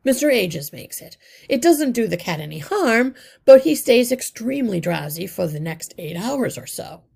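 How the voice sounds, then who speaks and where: A woman reading aloud and voicing a brave, practical young male rat who explains things calmly. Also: a little high, moderate pace, creaky, husky, exaggerated voice. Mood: explaining. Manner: calm, matter-of-fact explaining.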